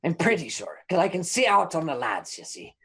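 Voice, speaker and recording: A man's voice: fairly high pitch, wildly swooping pitch, brisk, bright, forceful tone, breathy edge, full character voice. A man voicing a chatty, cheeky imprisoned informant who rambles and stammers in old-timey folksy phrasing. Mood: rambling.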